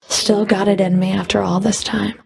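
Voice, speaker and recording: A woman playing a stern, scornful female Fury in a video game, curt, cool and commanding, with a breathy edge to her voice. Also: mid-range voice, flat delivery, normal speed, a little mellow. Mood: cool triumph. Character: stern, scornful female Fury, a whip-wielding underworld enforcer. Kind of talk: video game combat voice lines.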